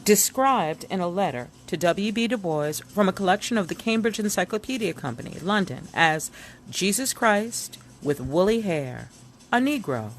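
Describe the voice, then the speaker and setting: A woman reading a history book aloud expressively, with theatrical emphasis and pauses. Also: mid-range voice, animated, a little hiss or hum. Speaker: book reader. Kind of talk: expressive read-aloud of an illustrated history book, with spoken asides. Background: music.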